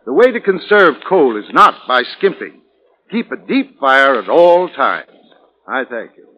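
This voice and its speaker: Lively-pitched 1940s radio advertising spokesman. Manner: deliberate, instructive phrasing. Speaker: advertising spokesman, home heating expert. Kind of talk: scripted 1940s radio advertising talk, instructional. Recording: muffled vintage 1940s radio recording.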